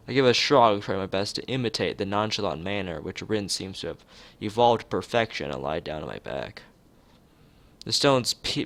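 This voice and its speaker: A man reading a story game aloud in an expressive narrating style, occasionally stumbling over words. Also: deep voice, vocal fry. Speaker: let's player reading a visual novel aloud.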